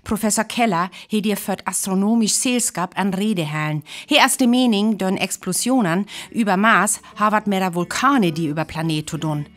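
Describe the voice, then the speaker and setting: A woman's voice: mid-range voice, moderate pace, hardly any pauses, a bit bright, close to an everyday voice. A woman playing a composed radio announcer reading a bulletin in a radio play, with a North Frisian accent. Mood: composed, formal.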